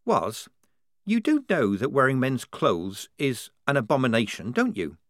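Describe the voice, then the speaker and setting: A man's voice: mid-range voice, wildly swooping pitch, a little slow, fairly bright tone, no room sound, studio quality. Man doing a wary young woman soldier. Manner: cautious, guarded.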